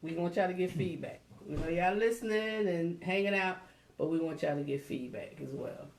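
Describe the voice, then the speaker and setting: A woman in her forties co-hosting a casual live talk with her husband, chatty and quick to laugh. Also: lowish voice, a little hiss or hum. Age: 40s. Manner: chatty, laughs often, greets viewers. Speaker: co-host. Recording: echoey room.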